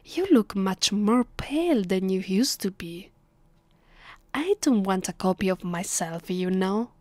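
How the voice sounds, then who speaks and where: A woman with an Italian accent playing a haughty, teasing ancient vampire mistress in a soft, half-whispered ASMR roleplay, condescending and commanding. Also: medium pitch, lively intonation, a little slow, no room sound, close to an everyday voice. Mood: impatient, commanding. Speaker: voice actress. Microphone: close, dry microphone.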